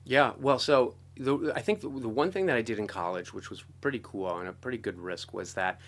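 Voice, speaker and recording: A middle-aged American man telling his story casually as an interview guest, talkative and animated. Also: medium pitch, a little fast. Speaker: interview guest, composer and guitarist.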